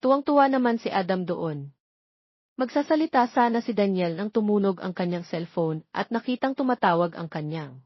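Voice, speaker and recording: A woman's voice: medium pitch, expressive, normal speed, slightly rough, no room sound, muffled recording. A woman reading scripted story narration as the narrator of an audio romance.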